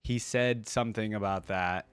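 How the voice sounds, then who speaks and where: A man giving casual, off-the-cuff reaction commentary as a video host, in an informal, slangy and expressive way. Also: lowish voice, normal speed, clear, resonant tone, dry recording.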